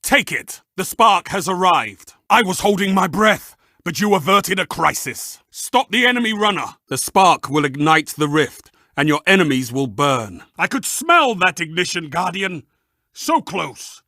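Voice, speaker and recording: A theatrical game arena announcer, with a high, very animated voice and a forceful edge. He fires off short, savoring, dramatic calls to spur fighters on, in a dry close recording. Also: unhurried, no echo, exaggerated voice. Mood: excited, relishing. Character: theatrical, fervent male arena match announcer urging on fighters. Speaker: match announcer.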